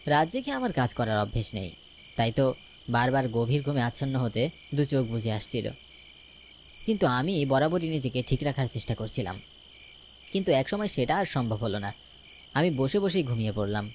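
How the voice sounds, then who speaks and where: A man's voice: mid-range voice, big pitch swings, fairly quick, long pauses, slight background noise. A man narrating a scripted first-person ghost story as a dramatic storyteller. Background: music.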